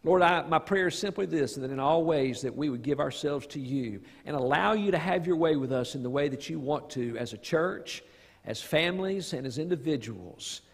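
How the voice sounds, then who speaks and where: A middle-aged man, a pastor, reading scripture and praying in public with solemn, deliberate phrasing. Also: on the high side, animated, breathy edge. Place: large church sanctuary, in front of congregation. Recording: echoey church hall, long reverb.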